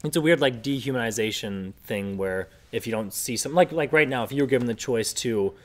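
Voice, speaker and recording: A young adult man talking casually as a podcast host, animated and often acting out imagined lines. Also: mid-range voice, a little fast. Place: indoor room, seated group. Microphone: shared desktop microphone.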